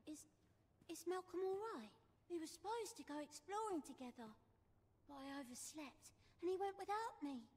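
Small wistful child, fantasy game, tiny very high voice, hesitant, reverberant room. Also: female voice, expressive, normal speed, darkish tone. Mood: worried, regretful. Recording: long echoing stone-room reverb.

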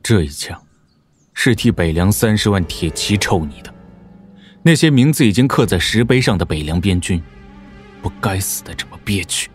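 An actor playing a cold, commanding general in a Chinese wuxia audio drama, speaking gravely and deliberately. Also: male voice, low-pitched, animated, soft background music. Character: cold, commanding martial general delivering a stern rebuke.

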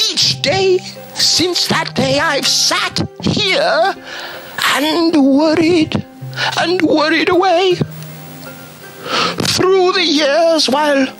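Very slow, theatrical male storybook reader. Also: very high-pitched, sharp tone, rough recording. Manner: theatrical, with long pauses and emphasis. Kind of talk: dramatic children's story reading.

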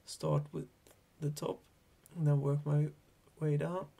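Man, 30s, Swedish accent, slow unhurried delivery, slightly high voice, thinking aloud, home workshop. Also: vocal fry. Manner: relaxed, hesitant, thinking aloud. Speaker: fly-tying instructor. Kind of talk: casual tutorial narration. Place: small home fly-tying workshop.

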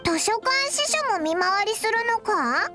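A tiny floating fairy from an anime game, extremely high and childlike. The voice swoops wildly, brash and excitable, with blunt boyish speech over clear music. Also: female voice, measured pace, exaggerated voice. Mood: cheeky.

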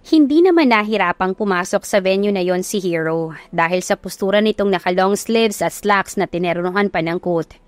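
A woman's voice: mid-range voice, fairly quick, no echo. A woman giving scripted audiobook narration of a romance story as its narrator.

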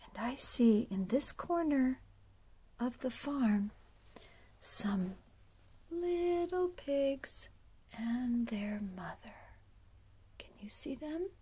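Hushed, breathy woman reading to young children. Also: sits high, animated, extremely slow, pauses often. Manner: breathy, often hushed, exaggerated wonder. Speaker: storyteller and children's music teacher. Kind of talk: read-aloud picture book narration for young children.

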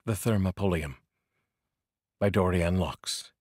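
A middle-aged man reading poetry expressively, with dramatic, deliberate phrasing and a husky voice, close on a studio microphone. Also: low-pitched, a little mellow, dry recording. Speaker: poetry reader, channel host. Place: small curtained home studio, indoors. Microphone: close studio microphone on boom arm with pop filter.

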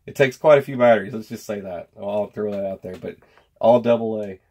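A man's voice: on the low side, brisk, dark tone. A man in his thirties chatting in a folksy, rambling way as he reviews and unboxes gear in a home workshop. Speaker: host of a gear review channel. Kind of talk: casual product unboxing and review chat.

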